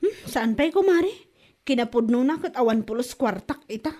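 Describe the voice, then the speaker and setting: Woman acting a high-voiced, carefree Ilocano wife. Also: animated, normal speed, darkish tone. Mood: nonchalant. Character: carefree spendthrift wife Anita, unbothered by scolding. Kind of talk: comedy radio drama acting.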